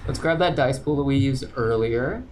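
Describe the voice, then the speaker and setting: A man narrating as game master of a tabletop game, relaxed and descriptive, with frequent fillers. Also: mid-range voice, moderate pace, slight background noise.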